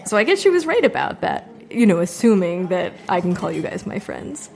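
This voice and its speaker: A woman's voice: fairly low pitch, big pitch swings, brisk, creaky, darkish tone. A woman playing a young adult performer giving a chatty, self-deprecating open mic monologue. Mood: wry. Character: wry young woman doing a stage monologue.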